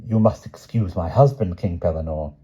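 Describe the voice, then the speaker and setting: A man's voice: deep voice, normal speed, dark tone, breathy edge, lo-fi sound. A man voicing a cold, defiant queen with a cutting manner. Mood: icy. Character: icy, defiant scheming queen.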